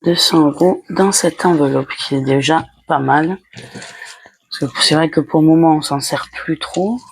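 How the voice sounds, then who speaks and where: Casting: woman, budgeting vlogger. Unhurried, halting step-by-step delivery with some vocal fry, light background noise. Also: deep voice, darkish tone. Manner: talks herself through each step in short, halting phrases. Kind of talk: casual step-by-step narration while handling cash envelopes.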